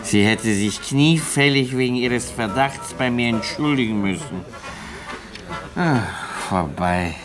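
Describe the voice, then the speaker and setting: An actor in a German radio play as a self-pitying, down-and-out failed student, rambling and resigned. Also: male voice, medium pitch, animated, slow, over music. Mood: bitter, self-pitying.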